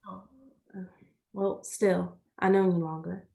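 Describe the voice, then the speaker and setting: A woman's voice: medium pitch, flat delivery, takes it slowly, vocal fry, warm tone. An actress playing a loyal, reassuring young country woman in a stage play reading, plain-spoken and earnest. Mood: tender. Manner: folksy, earnest, reasoning things out.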